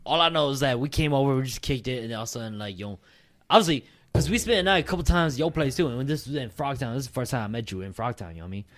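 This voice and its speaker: A man's voice: medium pitch, animated, very fast, fairly bright tone. A man telling a rambling story in laid-back chat, swearing freely. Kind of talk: casual chat among friends.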